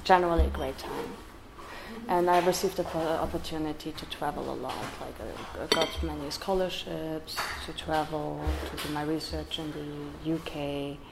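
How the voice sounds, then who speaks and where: A woman with a German accent, German being her first language, talking haltingly as an interview guest, with many pauses and restarts. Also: deep voice, fairly even intonation, very noisy. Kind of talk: personal interview.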